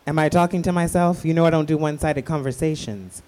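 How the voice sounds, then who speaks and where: A man's voice: on the high side, fast, a little mellow, close to an everyday voice. A male actor playing a fierce, fabulous queer radio producer in a radio play, with a sassy, campy, scolding manner. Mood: sassy, needling.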